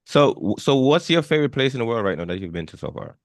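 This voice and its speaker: A man hosting a podcast in an informal, animated chat, often repeating and doubling back on his phrases. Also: mid-range voice, fast, dry recording. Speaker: podcast host.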